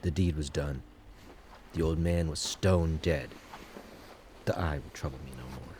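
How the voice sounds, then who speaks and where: An actor playing an obsessive madman who confesses to murder in intense, theatrical gothic narration, swinging between hushed and loud delivery. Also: extremely low pitch, measured pace, a little hiss or hum. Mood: gloating. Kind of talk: dramatic first-person gothic narration, audio drama.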